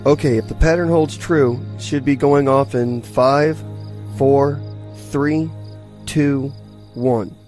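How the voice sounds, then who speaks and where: A man performs a tactful starship officer in a science fiction audio drama. He is calm and matter-of-fact in a middle-pitched voice. Light music sits behind him. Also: slow, close to an everyday voice. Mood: focused. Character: calm, tactful male starship officer leading a field mission.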